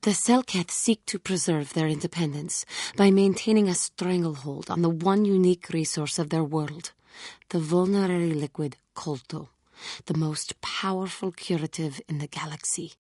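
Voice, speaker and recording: A woman voicing an earnest, troubled young female Jedi warrior in a video game, speaking formally and with measured care in a husky voice. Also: on the low side, warm tone, no echo, studio quality, lightly acted. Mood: composed, informative. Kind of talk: scripted game character dialogue.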